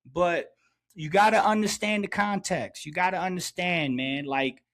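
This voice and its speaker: High-pitched middle-aged man, emphatic podcast talk. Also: normal speed, fairly bright tone. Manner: emphatic, repeats phrases for emphasis. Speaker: podcast host, basketball coach. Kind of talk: casual, slangy sports podcast storytelling.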